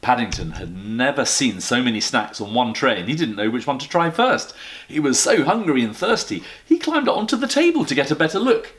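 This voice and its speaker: A man's voice: mid-range voice, very animated, fairly quick, fairly bright tone. A man, a headmaster, reading a children's story expressively and addressing the listeners directly.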